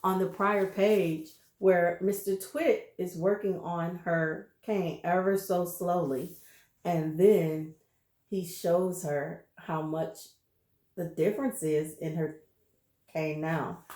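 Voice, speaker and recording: A woman reading a children's story aloud, expressive and pausing now and then to chat about the pictures. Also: lowish voice, echoey room. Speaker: reader.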